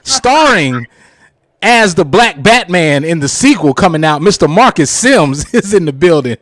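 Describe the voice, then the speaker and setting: A man's voice: a little high, big pitch swings, measured pace, a bit bright, dry recording. A man hosting a casual live radio show, with showy introductions, on a close studio mic. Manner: showy introductions with repeated catchphrases.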